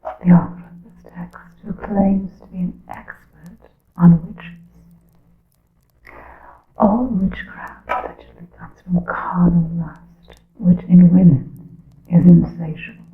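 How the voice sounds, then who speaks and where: Echoey whispery asmr witch played by a woman, creaky n breathy, wry old-timey storytelling, super hushed. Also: fairly low pitch, huge pitch swings, heavily acted. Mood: wry, incredulous. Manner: deliberate, hushed, archaic formal diction. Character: wry persecuted witch of a coven, centuries ago. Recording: muffled narrow-band lo-fi, echoey.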